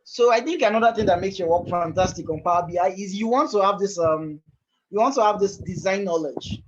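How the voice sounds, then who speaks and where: A man presenting a live software tutorial over a video call, talking in a loose, conversational way that often repeats and restarts phrases. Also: sits high, fairly quick, dull audio.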